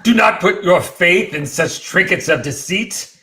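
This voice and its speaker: A man playing a commanding, possessive vampire count in a radio play, speaking in a theatrical, deliberate, declamatory way. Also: high voice, lively intonation, bright, forceful tone, a little hiss or hum, pitched above the performer's own voice, full character voice. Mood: imperious. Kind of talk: live comedic radio play reading.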